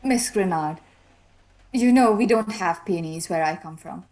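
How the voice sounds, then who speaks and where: A woman's voice: fairly low pitch, a little slow, darkish tone, lightly acted. Woman acting a wistful, reserved young lady. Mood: polite, wistful. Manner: polite, guarded, wistful. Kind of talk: period radio mystery acting.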